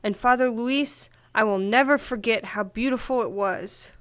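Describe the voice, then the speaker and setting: A gracious, devout lady, played by a woman, with a high voice in a dry recording. She speaks fondly, in the style of a religious audio drama. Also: moderate pace, dry recording, natural voice. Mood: reverent, fond. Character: gracious, devout woman, fond of remembering her friend.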